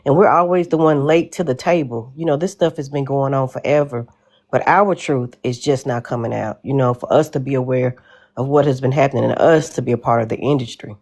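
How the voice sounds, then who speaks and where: A woman's voice: extremely low pitch, fairly quick, darkish tone. A woman in her forties with a Southern American accent, earnestly rambling about her views as a guest on a call-in show. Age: 40s. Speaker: caller guest. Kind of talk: casual call-in chat.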